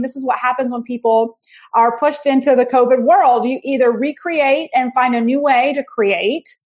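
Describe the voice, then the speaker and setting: Chatty woman podcast host, slightly high. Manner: chatty, enthusiastic, restarts phrases. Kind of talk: casual podcast introduction.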